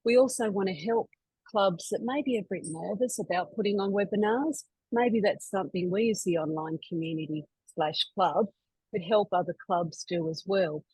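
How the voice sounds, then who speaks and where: Husky woman hosting a video-call webinar. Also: medium pitch, normal speed, darkish tone, no room sound, low-quality recording. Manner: chatty, with many fillers and restarts. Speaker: webinar host. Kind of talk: hosting an online members' webinar.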